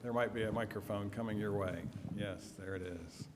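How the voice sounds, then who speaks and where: A man giving a health lecture and answering audience questions, measured and trailing off softly at phrase ends. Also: fairly low pitch, steady tone, very noisy. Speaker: health seminar speaker. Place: church hall. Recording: echoey hall.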